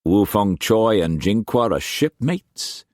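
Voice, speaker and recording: A man voicing a calm, hard merchant captain who speaks in a measured, cold way. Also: fairly low pitch, dry recording, studio quality, pitched above the performer's own voice.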